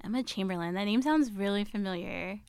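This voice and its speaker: A woman's voice: fairly quick, a little mellow, clear, resonant tone, no room sound, studio quality. A woman co-hosting a podcast in a playful, joking manner. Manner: playful, joking, big jumps in pitch. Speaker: podcast co-host. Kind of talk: casual chat.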